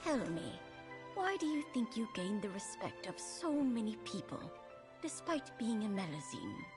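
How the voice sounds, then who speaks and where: A slow-spoken kindly old lady. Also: medium pitch, very animated. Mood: knowing, tender. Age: older. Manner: tender, unhurried. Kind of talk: video game voice acting. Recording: music clearly behind the voice.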